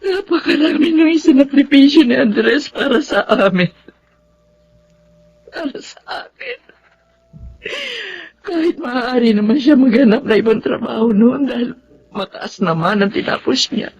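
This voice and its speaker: A husky, grieving Filipino widowed mother. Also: high-pitched, unhurried, long pauses, darkish tone, muffled recording, full character voice. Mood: tearful, regretful. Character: grieving middle-aged widowed mother, tender and remorseful. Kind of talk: Filipino radio drama acting.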